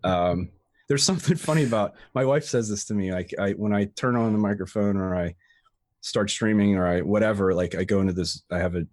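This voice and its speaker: A man with a fairly low voice speaks in a loose, conversational way, circling back and restarting his sentences often. The sound is dry and close, with no room around it. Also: fairly quick, darkish tone, no echo. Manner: rambling with frequent restarts and fillers. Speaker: guest, tutorial creator. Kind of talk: casual interview chat.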